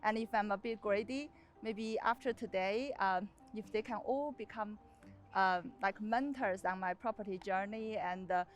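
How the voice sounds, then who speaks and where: A woman in her thirties from China with a Chinese accent, giving an earnest, slightly hesitant personal pitch. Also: moderate pace, faint music behind. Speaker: property developer pitching as a contestant. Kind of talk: personal pitch and chat on a TV show.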